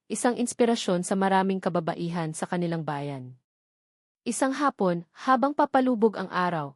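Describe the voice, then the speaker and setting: A woman's voice: medium pitch, dry recording, studio quality. A woman with a Filipino accent reads a scripted love story as a storyteller, in a sentimental, earnest manner.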